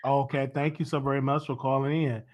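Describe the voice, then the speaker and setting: A man hosting a casual live call-in show, chatty and animated, his voice worn from talking. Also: fairly quick. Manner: animated, pitch jumps high when excited. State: losing his voice. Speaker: host.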